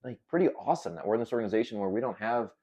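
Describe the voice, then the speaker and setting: Low, mellow-voiced young man musing. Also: animated, rapid-fire, husky. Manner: reflective, rambling, filler-heavy. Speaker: guest. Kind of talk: casual conversation.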